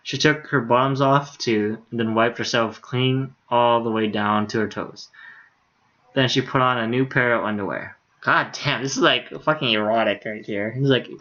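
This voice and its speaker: A man's voice: mid-range voice, normal speed, pushed and bright, dull audio. A man reading a visual novel aloud on a livestream in a casual, unpolished way. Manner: casual, stumbles over words, swears in asides. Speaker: game streamer.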